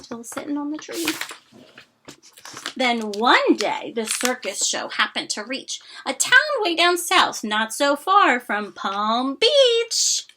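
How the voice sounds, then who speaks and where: A woman's voice: high voice, big pitch swings, slow, noisy background. A woman reading a rhyming children's story aloud expressively and dramatically, with chatty asides.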